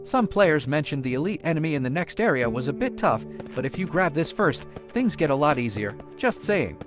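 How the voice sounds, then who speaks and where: A man's voice: fairly high pitch, very animated, a little fast, over music. A man giving a chatty, informal, playfully boastful video game walkthrough commentary, talking casually to viewers.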